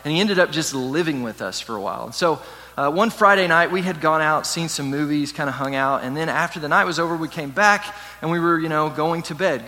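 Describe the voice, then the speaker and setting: A man preaching a sermon, telling a personal story in a casual, animated way. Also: on the high side, big-room sound. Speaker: pastor.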